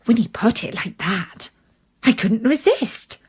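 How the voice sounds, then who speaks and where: A woman storyteller reading a scripted children's fairytale in the first person, in an expressive, deliberate manner. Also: fairly high pitch, husky. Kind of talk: scripted fairytale narration in character.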